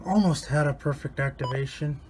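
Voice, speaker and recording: A man's voice: fairly high pitch, brisk, darkish tone. A man chatting casually and unscripted in an offhand, muttering way, as a hobbyist narrating his own phone video. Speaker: radio hobbyist filming videos. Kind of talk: casual unscripted vlog chat. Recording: noisy phone video recording.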